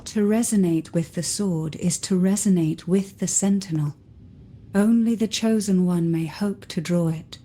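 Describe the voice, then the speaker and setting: A woman playing an enigmatic, composed noblewoman in a video game, speaking softly in a deliberate, formal, poised manner. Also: lowish voice. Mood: composed, knowing.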